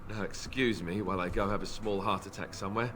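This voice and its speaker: An actor playing a self-deprecating young warrior and reluctant heir to a throne in a video game, joking and sarcastic. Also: male voice, on the low side, steady tone, fast, a bit bright, slight background noise, lightly acted. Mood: resigned, joking. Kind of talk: scripted video game acting.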